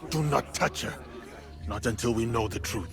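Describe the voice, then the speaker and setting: A man playing a stern, wary samurai in a video game, speaking in a measured, serious manner. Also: mid-range voice, gravelly, lightly acted. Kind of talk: dramatic game voice acting. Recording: loud music behind.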